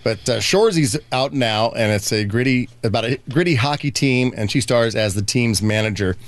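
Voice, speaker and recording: A man co-hosting a morning radio show, joking and teasing himself in casual studio banter. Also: medium pitch. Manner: jokey, self-deprecating, quick. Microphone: studio mic.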